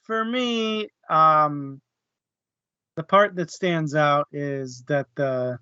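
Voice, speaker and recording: A man's voice: a little high, very slow, pushed and bright, clean tone, no room sound. A man co-hosting a casual book discussion, talking through his thoughts in a conversational way. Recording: dry, muffled online audio.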